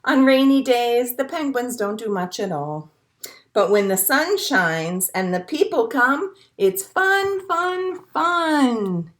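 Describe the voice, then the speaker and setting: A woman's voice: fairly high pitch, lively intonation, slow pace. A woman leading a children's storytime as a librarian, reading picture books and rhymes aloud in simple, encouraging phrases. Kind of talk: animated read-aloud storytime for young children.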